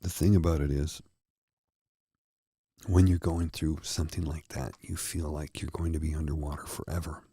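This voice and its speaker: Deep, dark-voiced man speaking earnestly. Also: level tone, breathy edge, no room sound, studio quality. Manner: slow, earnest, heartfelt. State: tired and a little froggy-throated. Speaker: host. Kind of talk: candid personal talk to viewers.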